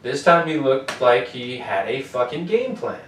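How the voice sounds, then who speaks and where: A man's voice: mid-range voice, big pitch swings, measured pace, a bit bright, a little hiss or hum. Brief: young American man, casual podcast co-host, swears freely, distant mic in a roomy space. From United States. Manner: loose, profane, joking. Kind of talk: casual sports podcast chat.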